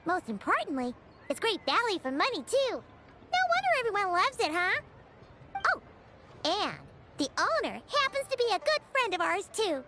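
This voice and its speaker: A woman voicing a tiny, excitable childlike fairy travel guide in a video game, perky and boastful. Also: extremely high pitch, moderate pace, noisy background. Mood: bright, helpful. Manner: perky, boastful, refers to self in third person. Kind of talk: video game cutscene acting. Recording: music behind the voice. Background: background music.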